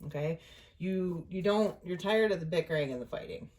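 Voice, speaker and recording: A woman with a low-pitched voice gives an off-the-cuff tarot reading. She talks in a casual, conversational way as the reader. She thinks aloud and often pauses between phrases. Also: a little mellow.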